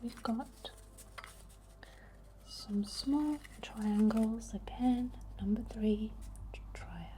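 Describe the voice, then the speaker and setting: A woman speaking in a soft, breathy whisper, gently guiding a soothing, unhurried ASMR healing ritual. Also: on the high side, poor audio. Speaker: ASMR host. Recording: faint background noise.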